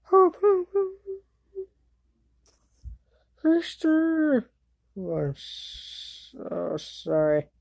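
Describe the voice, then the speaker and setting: A woman's voice: high voice, huge pitch swings, extremely slow, vocal fry, darkish tone, no echo, exaggerated voice. A woman voicing a bratty, hot-tempered young boy wizard in an amateur cartoon fandub, sneering and exasperated. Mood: startled.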